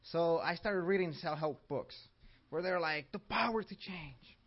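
A man's voice: a little high, a little slow. A man from Mexico with a Mexican accent, teaching a church class and asking his audience questions in an upbeat way. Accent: Mexican Spanish. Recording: dull lo-fi recording.

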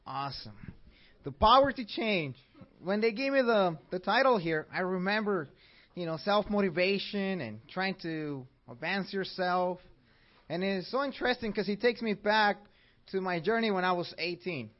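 A man's voice: high voice, lively intonation, moderate pace. A man from Mexico with a Mexican accent, teaching a church class and asking his audience questions in an upbeat way. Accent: Mexican Spanish. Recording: dull lo-fi recording.

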